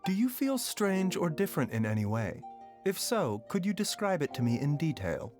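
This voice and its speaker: An actor playing a composed, scholarly male doctor who speaks in a measured, courteous and faintly wry way, in a game with faint music behind. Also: fairly high pitch, very animated, darkish tone. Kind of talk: game voice acting.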